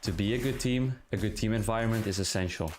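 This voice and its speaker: A man's voice: lowish voice, fast talker, darkish tone, slight background noise. A man from the Netherlands, a pro esports player speaking in simple, direct phrases in an interview voiceover. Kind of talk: documentary-style interview voiceover.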